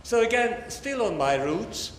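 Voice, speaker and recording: An older man from Hungary with a Hungarian accent gives a formal academic lecture as a professor, in measured phrases that often pause mid-sentence. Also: sits high, animated. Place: large lecture hall, talking to an audience. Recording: echoey lecture hall with background noise.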